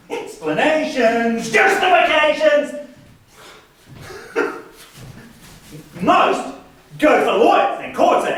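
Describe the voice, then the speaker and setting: A man acting in a stage play as a vengeful, unhinged brother, ranting and stammering, swinging from hiss to shout. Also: very high voice, exaggerated voice. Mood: bitter, raging. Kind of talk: amateur one-act stage play acting. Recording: echoey room from far off, noisy.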